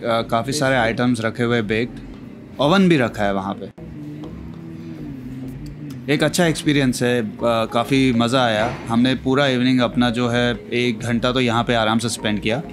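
A young man narrating a casual travel vlog while exploring hill viewpoints and restaurants, relaxed and chatty, with many fillers and English phrases mixed in. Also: medium pitch, moderate pace, over music. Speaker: travel vlogger.

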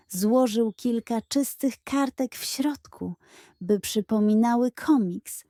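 Slow, mellow woman storyteller. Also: mid-range voice, no echo, clean studio sound. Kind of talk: scripted children's bedtime story narration.

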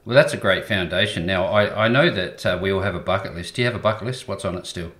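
A man's voice: low-pitched, fast talker, a bit bright. A man hosting an interview who asks short, friendly personal questions. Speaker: interview host.

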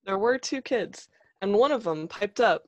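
A woman's voice: mid-range voice, animated, normal speed, darkish tone, no room sound, muffled recording. A woman reading scripted stage directions as the narrator of a children's play.

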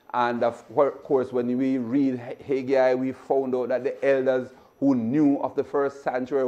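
A man's voice: medium pitch, darkish tone. An older man with a Jamaican accent, a bishop teaching a Bible study in an earnest, repetitive way, speaking to his congregation from an office. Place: office with desk and plant, indoors.

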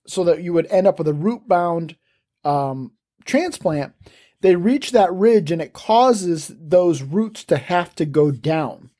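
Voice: mid-range voice, dark tone. A homesteading podcast host giving a casual first-impressions review of gardening gear, thoughtful and plain-spoken, weighing pros and cons as they talk.

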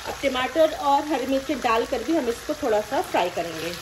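Woman, cooking host, friendly step-by-step voice-over, fairly high voice, kitchen noise and sizzling underneath. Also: animated, moderate pace. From Pakistan. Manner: friendly, explains each step plainly. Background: frying and sizzling.

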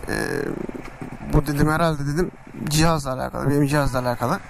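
A man telling a casual vlog story in a halting, drawn-out way, speaking into a helmet microphone. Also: on the high side, fairly even intonation, vocal fry, background noise. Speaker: motorcycle vlogger. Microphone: external microphone inside a helmet.

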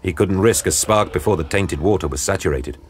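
A man giving a grave, measured, dramatic narration for an audio drama. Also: sits low. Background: dramatic music.

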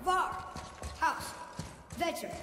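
A woman's voice: extremely high pitch, sing-song, extremely slow, full character voice. A performer voicing an earnest young boy in a video game, reciting careful single words in a light voice. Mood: focused. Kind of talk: cinematic video game acting. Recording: reverberant chamber with clear background noise.

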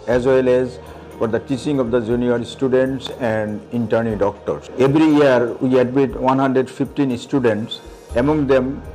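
A man's voice: mid-range voice, darkish tone, poor audio. A middle-aged man, a hospital official, giving factual interview statements in an office. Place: office indoors.

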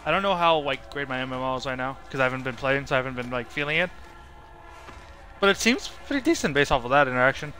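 A man's voice: mid-range voice, animated, fast, sharp tone, faint music behind. A man doing casual live gameplay commentary as a streamer, rambling and thinking aloud. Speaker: video game streamer.

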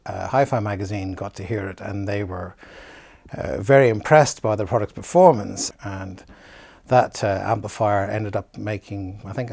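A man's voice: on the low side, vocal fry, a little mellow, no room sound. A middle-aged man interviewed in an indoor listening room, recalling company history hesitantly with restarts. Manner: hesitant, with many restarts and fillers.

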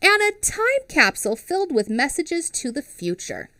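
Woman, picture book read-aloud, explains and quizzes children, higher voice, headset mic. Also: moderate pace, dry recording. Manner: expressive, asks children questions. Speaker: teacher reading aloud. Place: small home studio with classroom backdrop.

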